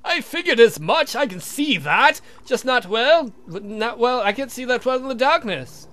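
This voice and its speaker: A man's voice: very high-pitched, lively intonation, unhurried, pushed and bright, voice pushed up, heavily acted. A man voicing a grumbling, fretful vampire count in a visual novel playthrough, whiny and complaining. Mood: glum. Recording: music behind the voice.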